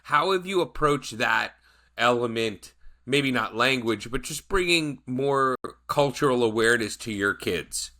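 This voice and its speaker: A man's voice: medium pitch, animated, a little slow, sharp tone. A man hosting a podcast, asking questions in a rambling way and searching for his words. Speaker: podcast host. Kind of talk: casual conversational interview.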